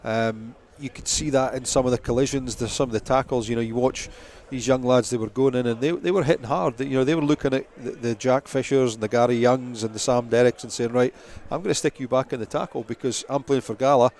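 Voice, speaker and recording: A man's voice: medium pitch, fairly even intonation, fast talker, a little mellow. A man giving live post-match analysis as a rugby pundit.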